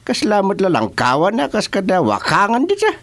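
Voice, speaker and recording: A male actor with an Ilocano accent playing an excitable, joking village senior in a rural comedy radio drama. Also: sits high, normal speed, exaggerated voice. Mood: teasing, amused. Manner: teasing, animated, colloquial.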